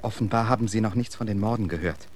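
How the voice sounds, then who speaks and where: An actor playing an urbane, articulate writer in a German radio drama, with an eloquent, emphatic and somewhat arch manner. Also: male voice, on the low side, fast, a little mellow, a little hiss or hum, close to an everyday voice. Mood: troubled. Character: urbane, articulate male writer, incredulous and theatrical.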